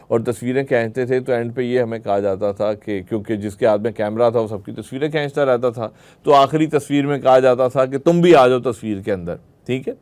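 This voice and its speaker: A man's voice: mid-range voice, darkish tone. A man giving a religious lecture to a group, explaining through rhetorical questions and everyday examples. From Pakistan.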